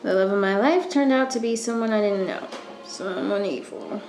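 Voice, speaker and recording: A woman's voice: medium pitch, normal speed, darkish tone, lightly acted. An actress playing a stressed young female student in a radio thriller, guarded, moody and intense, with a blunt, dramatic manner. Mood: anxious, wounded. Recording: echoey room, prominent music underneath.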